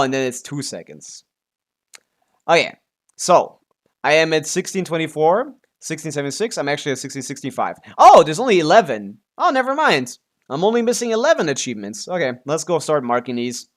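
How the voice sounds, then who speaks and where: A man's voice: on the high side, very animated, normal speed. A man chatting casually on a gaming livestream as the streamer, often muttering to himself and swearing offhandedly. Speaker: live streamer.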